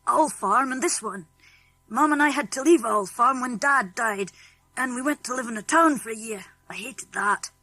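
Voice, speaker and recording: A woman's voice: on the high side, normal speed, bright, forceful tone, no echo, faint music behind, rough recording. A woman voicing a friendly, outspoken farm boy with a British accent in a children's audiobook. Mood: frank, confiding. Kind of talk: children's audiobook character acting.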